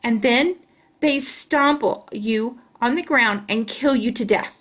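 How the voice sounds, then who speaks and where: A woman's voice: fairly high pitch, slow pace, fairly bright tone. A woman voicing a chatty, boastful, stubborn young schoolgirl in a children's storybook, with emphatic childlike wording. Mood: scornful. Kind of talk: children's storybook read-aloud.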